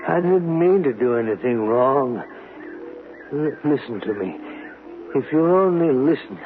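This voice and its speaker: An actor playing a lonely, guilt-ridden killer in a radio drama, evasive and pleading in a halting, anguished stammer. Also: male voice, medium pitch, lively intonation, lightly acted. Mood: guilty, pleading. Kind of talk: 1970s radio drama acting. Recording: noisy, tinny old radio broadcast.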